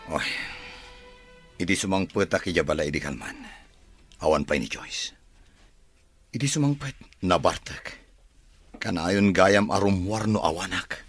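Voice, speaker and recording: Actor as suspicious, weary husband, family drama; low rough-edged voice, animated conversational read. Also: male voice, unhurried, pauses often, faint music behind, exaggerated voice. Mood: troubled. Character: troubled, suspicious husband confiding in a friend.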